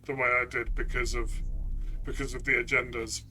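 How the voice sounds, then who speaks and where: A man's voice: mid-range voice, level tone, moderate pace, sharp tone, faint music behind. A man giving a player's view in a conversational tabletop game review, reflective and often restarting his phrases.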